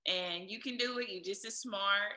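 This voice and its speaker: A woman's voice: medium pitch, moderate pace, sharp tone. A woman answering casually as an interview guest, talking in an encouraging, motivational way. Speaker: interview guest, air traffic controller and DJ. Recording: roomy, echoey sound.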